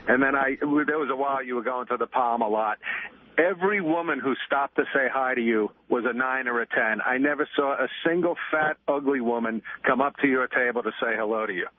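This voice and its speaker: Male caller, medium pitch, emphatic and admiring, thin telephone audio with little room sound. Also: fairly quick, no echo. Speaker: phone-in caller. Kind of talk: casual radio call-in anecdote. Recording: tinny phone line on radio.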